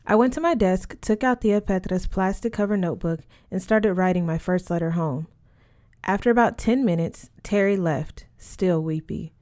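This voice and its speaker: A woman with a slightly low voice reads a young adult novel aloud. Her delivery is scripted narration, expressive in the manner of an audiobook reader. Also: moderate pace.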